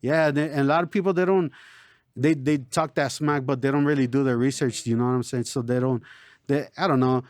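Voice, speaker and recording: A man born in Chicago and raised in El Paso, a rapper talking casually in an interview, relaxed and streetwise, always saying "you know". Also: mid-range voice, fairly quick, no room sound, studio quality. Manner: laid-back, streetwise, lots of "you know". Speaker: local rapper, interview guest.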